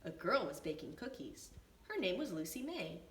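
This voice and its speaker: Very animated woman reading to young children. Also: lowish voice. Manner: expressive, deliberate phrasing. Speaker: preschool teacher reading a picture book. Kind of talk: storybook read-aloud for young children. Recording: echoey room, distant mic, faint noise.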